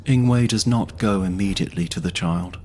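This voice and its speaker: A man's voice: low-pitched, normal speed, a little mellow, a little hiss or hum. A man narrating a scripted fantasy audio drama in a solemn storytelling manner.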